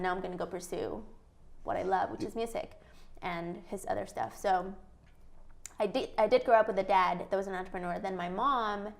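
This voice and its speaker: A woman from Mexico is a guest in a casual interview, with a mid-range voice. She tells her story with animated energy and laughs often. She acts out what other people said to her. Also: medium pitch, gravelly. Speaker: guest, entrepreneur.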